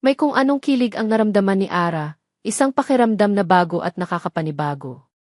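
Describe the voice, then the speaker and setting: A woman delivers scripted story narration in a dramatic audio format. Her pitch sits in the middle range, and the sound is dry, with hardly any room on it. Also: animated, brisk, darkish tone, no room sound.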